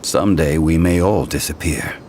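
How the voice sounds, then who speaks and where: An actor playing a calm, wise, ancient man in a video game, speaking in a solemn, formal and measured storytelling manner. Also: very deep voice, noisy background. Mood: reflective. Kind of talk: dramatic game dialogue.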